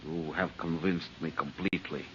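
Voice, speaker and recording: Old radio villain: scheming scientist, theatrical and halting, sharp-edged delivery, dull hissy recording. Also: male voice, deep voice, steady tone. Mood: falsely meek, sly. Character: scheming megalomaniac male scientist villain, falsely meek, cunning. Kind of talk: old-time radio drama acting.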